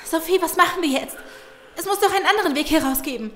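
An actress playing an alarmed, outspoken survivor in an audio drama. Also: female voice, very high-pitched, fast. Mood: urgent. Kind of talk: German audio drama acting. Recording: echoey room, faint noise.

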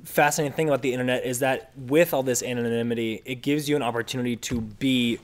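A man's voice: medium pitch, fairly even intonation, fast. Young man chatting animatedly on a podcast. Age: young adult. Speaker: podcast host. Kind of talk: casual podcast chat. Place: indoor room, seated group. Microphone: shared desktop microphone.

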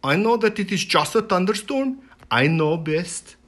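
A storyteller voicing a stubborn, bossy male Viking chieftain who booms and brushes everyone off in a storybook reading. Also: expressive, unhurried, a bit bright. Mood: dismissive. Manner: booming, dismissive.

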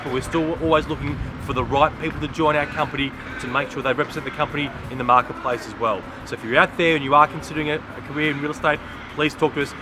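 Upbeat middle-aged Australian man, music under. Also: on the high side. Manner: upbeat, promotional, emphatic repetitions. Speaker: real estate agency principal giving a market update. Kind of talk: monthly market update to camera. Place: outdoors by a garden bed under an umbrella. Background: background music.

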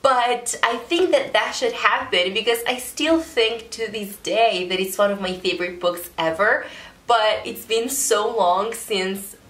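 A woman's voice: medium pitch, unhurried. A young woman in her twenties, a native Italian speaker with an Italian accent, chatting casually as she answers viewer questions in a vlog. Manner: chatty, animated, gestures while talking. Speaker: vlogger. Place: small bedroom, indoors. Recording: roomy bedroom echo.

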